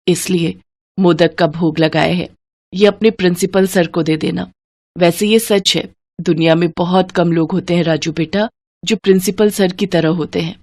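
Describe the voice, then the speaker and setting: A woman's voice: on the low side, a little mellow, no room sound. A woman voicing a poor, devout, loving mother in a story, tender, earnest and pleading.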